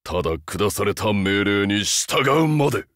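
A man's voice: fairly low pitch, expressive, unhurried, a bit bright, dry recording, studio quality. A man voicing a huge, loyal, humble beast-man soldier in a game, haunted and earnest, speaking with stiff archaic military formality. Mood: stiff, dutiful. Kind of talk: Japanese game character voice acting.

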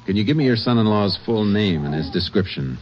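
An actor playing a stern, no-nonsense Texas Ranger, terse and authoritative, in an old radio drama. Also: male voice, on the low side, lively intonation, brisk, close to an everyday voice. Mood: stern, probing. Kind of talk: 1950s radio drama acting and narration. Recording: muffled, noisy old radio recording.

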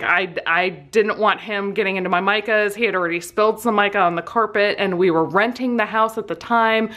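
A middle-aged woman casually telling a personal story in an amused, self-deprecating, animated way, as a vlogger would. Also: medium pitch. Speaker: vlogger and soap maker.

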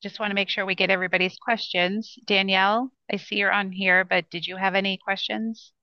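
A woman in her sixties, a state education official, answering questions on a video call with measured, reassuring replies. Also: mid-range voice, level tone, no echo. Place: small room indoors. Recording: dull, lo-fi video call audio.

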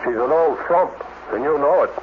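An actor playing a formal, authoritative firm director in a 1950s radio drama. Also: male voice, on the high side, normal speed, lightly acted. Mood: brisk, emphatic. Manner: formal, emphatic. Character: authoritative, emphatic male robotics firm director. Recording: dull, noisy 1950s radio recording.

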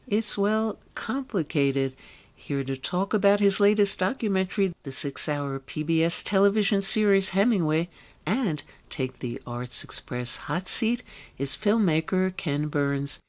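A woman presenting an arts radio programme, reading a scripted introduction with opinionated commentary. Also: on the low side. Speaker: radio arts show host.

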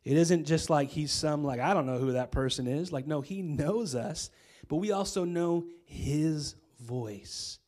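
Hoarse American pastor preaching casually. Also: male voice, on the high side, a little slow, darkish tone. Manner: casual, conversational preaching with many fillers. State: hoarse from a cold. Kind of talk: sermon. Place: church stage with string lights. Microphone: handheld.

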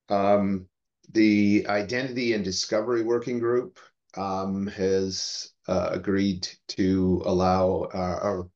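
A man giving an informal technical update on a video call in a measured, halting way. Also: on the low side, a little mellow. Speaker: meeting participant giving updates. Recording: dull video call audio.

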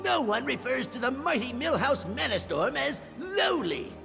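Huffy little game wizard, really high n pompous, big reverb. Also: male voice, big pitch swings, normal speed, creaky, over music, full character voice. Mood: indignant. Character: indignant, boastful little wizard with big ego. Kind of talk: video game character acting.

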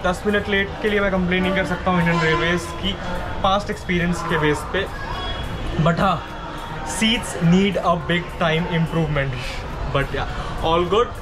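A man's voice: high voice, steady tone, a little slow, low-quality recording. A young man with an Indian accent, giving casual travel commentary on a train journey and switching between Hindi and English mid-sentence. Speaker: travel vlogger. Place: on a train and station platform. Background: loud background music.

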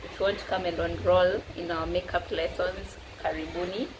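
A woman's voice: lowish voice, little pitch movement, normal speed. A woman in her thirties with a Kenyan accent, chatting casually and informally to camera as a makeup artist. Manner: informal, mixes Swahili into English. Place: indoors against a plain dark wall. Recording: clearly noisy background ambience.

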